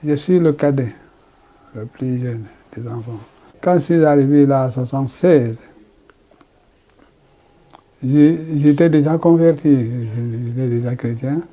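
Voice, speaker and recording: An older man from Congo, a pastor recounting his life story slowly and haltingly. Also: mid-range voice, warm tone, lo-fi sound. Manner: slow, halting, repeats words. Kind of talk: personal testimony.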